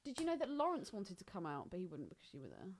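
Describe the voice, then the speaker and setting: A woman's voice: fairly low pitch, wildly swooping pitch, quick pace, darkish tone. A woman co-hosting a podcast in a casual chat, gossipy, blunt and emphatic, swearing freely and repeating herself for stress. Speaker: podcast co-host.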